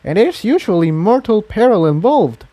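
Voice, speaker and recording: A man's voice: high voice, big pitch swings, moderate pace, mellow, no room sound, pitched above the performer's own voice. A man voicing a timid but capable young female pony who sneaks about, in an audiobook-style story reading. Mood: teasing.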